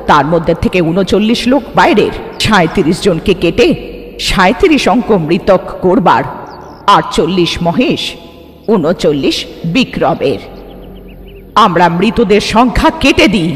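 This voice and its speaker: Horror cartoon aunt, played by a man: stern old villager, very high, swooping delivery, ominous. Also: very animated, moderate pace, fairly bright tone. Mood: grave. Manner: ominous, forbidding. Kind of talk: animated horror cartoon acting. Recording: long hall reverb over music.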